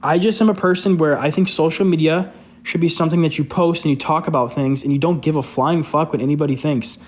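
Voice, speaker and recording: A man's voice: a little high, steady tone, quick pace. A young man chatting casually on a live stream, loose and candid, filling his talk with "like". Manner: loose and candid, heavy use of "like". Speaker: social media creator hosting a live stream.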